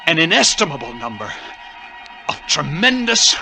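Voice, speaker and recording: Suspenseful lighthouse keeper narrator, music behind. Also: male voice, a little high, big pitch swings, vocal fry. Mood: horrified. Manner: deliberate, suspenseful, vivid. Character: intense lighthouse keeper narrating his own tale of dread. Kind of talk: dramatic first-person narration, 1940s radio acting. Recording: old radio drama with loud music.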